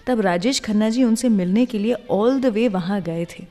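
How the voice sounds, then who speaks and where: A woman's voice: mid-range voice, normal speed, dark tone. Woman presenting a music radio show, chatty storyteller, lots of reverb, music playing under her. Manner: conversational storytelling, addresses listeners directly. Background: music bed.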